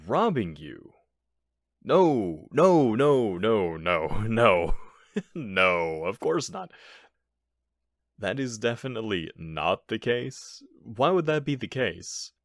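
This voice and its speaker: Man voicing a bumbling male burglar, lowish voice, swooping intonation, stammering bluffer, indignant, audio roleplay. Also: sing-song, takes it slowly, gravelly, no room sound, studio quality, full character voice. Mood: defensive, indignant. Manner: flustered, stammering, theatrically emphatic bluffing. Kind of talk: ASMR audio roleplay acting.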